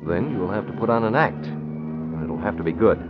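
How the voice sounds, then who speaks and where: A man's voice: deep voice, moderate pace, natural voice. A man playing a resolute, commanding American masked crime fighter in a classic radio drama, speaking tersely. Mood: urgent, resolute. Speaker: lead actor in a radio drama. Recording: muffled old radio, faint background noise.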